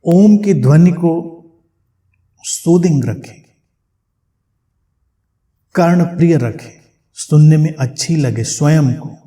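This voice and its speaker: A man leading a guided meditation, speaking gently in short phrases with long pauses between them. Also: fairly high pitch.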